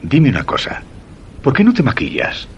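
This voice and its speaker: An actor with a Castilian accent playing a suspicious husband, narrating a dark suspense radio drama in measured, literary phrasing. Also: male voice, medium pitch, wildly swooping pitch, lo-fi sound, a little hiss or hum. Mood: probing, reproachful. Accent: Castilian Spanish.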